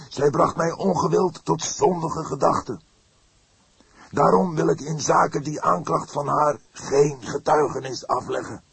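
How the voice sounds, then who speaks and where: A fiery, pious father declaims solemnly in an audiobook. His voice is pushed high and forceful, with a husky grain. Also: dry recording, full character voice. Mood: solemn, preachy. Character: fervent, pious long-haired religious father, solemn and preachy. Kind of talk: audiobook character acting.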